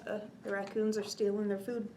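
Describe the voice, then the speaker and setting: A woman's voice: medium pitch, flat delivery, brisk, warm tone, a little hiss or hum, low-quality recording. A woman reading a children's picture book aloud expressively, in a sing-song way with chatty little asides. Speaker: children's storytime reader.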